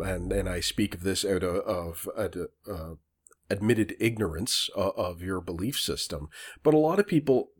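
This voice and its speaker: A low-pitched man hosts a talk radio show. He reads his introduction, then questions his guest haltingly, with plenty of ums and polite apologies. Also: a little mellow. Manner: hesitant, apologetic questioning with many fillers. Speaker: podcast host. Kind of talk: radio interview.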